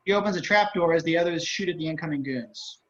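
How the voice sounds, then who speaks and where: A man with a slightly high voice reads scripted stage directions. The pace is quick, and the sound is a bit dull, as on a video call. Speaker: narrator reading stage directions. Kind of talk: scripted narration for a table reading.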